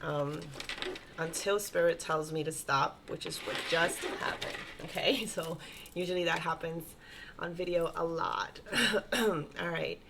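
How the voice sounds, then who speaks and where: A woman with a stuffy, congested nose giving a gentle, reassuring spiritual tarot reading and often checking in with her listeners. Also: low voice, fairly even intonation, a little slow, background noise. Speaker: tarot reader.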